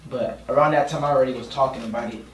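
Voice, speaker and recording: A man's voice: mid-range voice, racing. A man giving a casual podcast interview as a guest, speaking informally with plenty of slang. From Miami, Florida, USA. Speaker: guest singer and producer. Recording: roomy, distant mic, faint noise.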